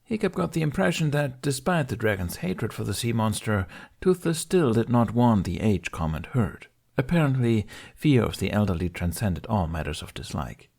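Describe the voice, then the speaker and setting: A man's voice: on the low side, big pitch swings, moderate pace, vocal fry, few pauses, a little mellow. A man reading a story aloud as scripted audiobook narration.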